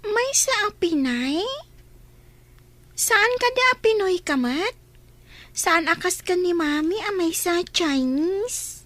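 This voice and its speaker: A wistful little daughter, acted by a woman in an Ilocano radio drama. The voice is extremely high and childlike, and she talks slowly and plaintively. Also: animated, a bit bright, dry recording, exaggerated voice. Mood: questioning. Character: wistful little girl talking to her daddy.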